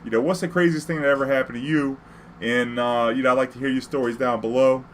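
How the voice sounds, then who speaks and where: A man's voice: medium pitch, fairly even intonation, quick pace, slight background noise. A man from New Orleans with an American accent, telling personal stories casually in profane street slang, acting out the dialogue.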